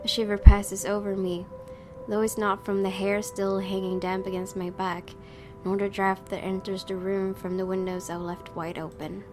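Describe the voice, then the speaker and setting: A woman doing a casual let's play, reading a visual novel aloud and commenting in an expressive way. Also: medium pitch, normal speed. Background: game music with guitar.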